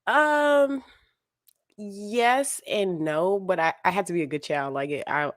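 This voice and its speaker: A woman's voice: mid-range voice, slow pace, dry recording, studio quality. A woman guest on a podcast, easygoing, giving short answers and laughing often. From military family, moved often, raised partly in Japan. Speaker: guest, model. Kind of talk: casual interview answers.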